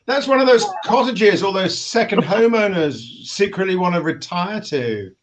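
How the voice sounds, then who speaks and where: A man's voice: high voice, lively intonation, moderate pace, noisy background. A man with an English accent hosting a casual, bantering online interview over a video call. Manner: jokey, bantering. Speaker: host. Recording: slightly dull, lo-fi call audio.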